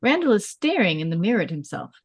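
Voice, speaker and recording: A woman reading screenplay stage directions in a measured way, as scripted narration at a table read. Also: mid-range voice, very animated, breathy edge, no room sound, clean studio sound.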